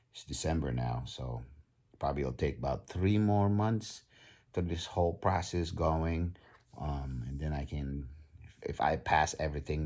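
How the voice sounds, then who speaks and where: A man around fifty talking casually on his video blog, halting and full of ums and restarts. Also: a bass voice, darkish tone, breathy edge. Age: 50s. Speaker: vlogger. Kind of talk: casual vlog talk.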